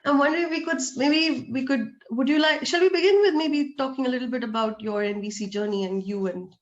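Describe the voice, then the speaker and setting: A woman hosting an interview over a video call, asking questions gently and restarting her sentences as she searches for words. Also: sits high, fairly quick, highs cut off. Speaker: host, interviewer. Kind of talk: warm, gently hesitant interviewing.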